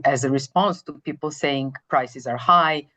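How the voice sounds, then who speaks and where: A woman from Serbia with a Serbian accent, a psychologist answering interview questions in measured explanations, often repeating words as she searches for the phrasing. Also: a bass voice, pushed and bright. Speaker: psychologist guest.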